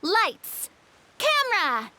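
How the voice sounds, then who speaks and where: A woman voicing an excitable, self-assured girlish film director in a video game, showy and enthusiastic in manner. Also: extremely high pitch, very slow, background noise, heavily acted. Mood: confident, eager. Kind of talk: video game character acting.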